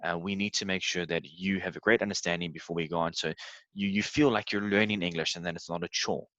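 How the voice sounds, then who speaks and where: A man from South Africa speaks with a very low voice, close and dry on a computer mic. He presents his tutoring services in a relaxed, conversational way, scattering filler words as he shares his screen. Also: fast, dry recording, lo-fi sound. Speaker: English tutor.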